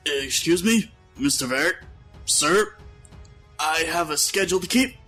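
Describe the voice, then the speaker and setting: A man's voice: a little high, animated, measured pace, pushed and bright, soft background music, voice pushed up. A man voicing a polite but impatient male cart driver in a fantasy audio story, deferential yet insistent.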